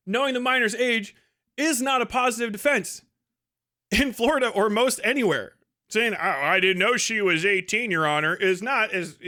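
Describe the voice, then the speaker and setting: High-pitched sardonic man, bright voice. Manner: sardonic, mocking. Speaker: streamer host reacting to clips. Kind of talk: casual profane commentary with acted-out quotes.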